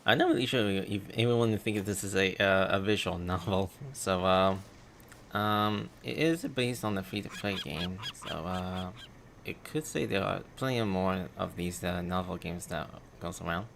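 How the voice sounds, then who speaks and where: A man's voice: deep voice, a little slow, vocal fry, fairly bright tone, slight background noise. A man with a Filipino accent, rambling hesitantly over a game livestream. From Philippines. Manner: rambling, hesitant, many fillers. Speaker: streamer. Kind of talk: casual livestream chat. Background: game music.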